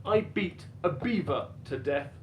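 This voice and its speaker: Slow, deliberate deadpan man in a roomy space. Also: a little high. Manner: deadpan, self-deprecating, deliberate pauses. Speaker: stand-up comedian. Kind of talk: dramatic reading of a stand-up routine. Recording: roomy echo with faint background noise.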